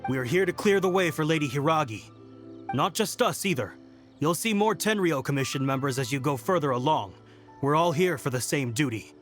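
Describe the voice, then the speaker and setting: Courteous, higher-voiced guard. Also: male voice, animated, a little fast, a bit bright. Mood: reassuring. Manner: formal, reassuring. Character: courteous, dutiful young male guard. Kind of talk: video game voice acting. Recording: game music behind the voice.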